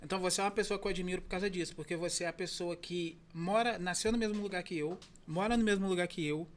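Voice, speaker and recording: A man's voice: high-pitched, steady tone, fast talker. A Brazilian man hosting a podcast interview, talking casually with many restarts and fillers. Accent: Brazilian Portuguese. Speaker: podcast host.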